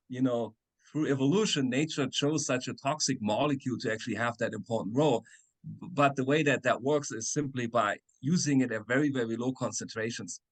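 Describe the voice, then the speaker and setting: A man's voice: mid-range voice, rough recording. A middle-aged man, a chemistry professor answering interview questions on a video call, explaining patiently with many "uh"s and tag questions. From Germany. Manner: explanatory, frequent "right?" and "uh".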